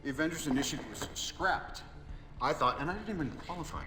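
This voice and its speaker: A man's voice: on the high side, lively intonation, lightly acted, rough recording. A man playing a glib, self-assured genius inventor, dry and sarcastic, in a video game cutscene. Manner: dry, sarcastic, quick-witted.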